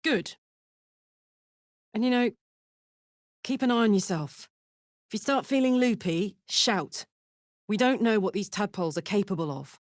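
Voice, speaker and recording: A tough tiefling warrior woman from a fantasy video game, played by an actress, blunt and colloquial. She is playful and warm-hearted under the swearing, with a mid-pitched voice in a very dry, close recording. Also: a little slow, pauses often, dry recording, natural voice. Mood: wry, watchful. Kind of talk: video game character voice lines.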